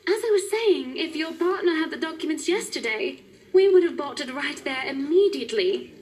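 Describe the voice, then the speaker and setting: A woman's voice: sits high, animated, normal speed. A woman voicing a wealthy, gushing client in a visual novel, affectionate and sweetly bossy, calling everyone darling. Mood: gracious. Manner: affectionate, calls people darling.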